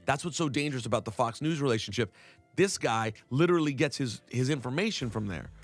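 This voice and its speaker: A man's voice: medium pitch, expressive, fast talker, soft background music. A man co-hosting a radio talk show, reading out news and giving his opinions in casual chat. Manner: opinionated, reads news aloud with commentary. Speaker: radio co-host.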